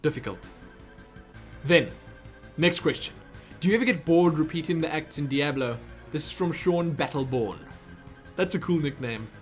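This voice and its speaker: A man's voice: on the high side, moderate pace, pauses often, soft background music. A young man with a South African accent answering viewer questions in a casual, rambling vlog. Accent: South African English. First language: Afrikaans. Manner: chatty, rambling, self-interrupting. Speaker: YouTube Q&A host.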